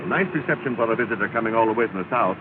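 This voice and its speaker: Tinny, distant, fussy actor as a gentleman. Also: lowish voice, racing, close to an everyday voice. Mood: exasperated. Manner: indignant, formal diction. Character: exasperated, fussy well-to-do gentleman passenger. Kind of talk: 1930s film acting. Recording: distant, tinny, noisy 1930 film soundtrack.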